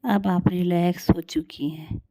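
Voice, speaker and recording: A woman leading a guided meditation in a calm, soothing, unhurried way with long pauses. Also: on the low side, no echo.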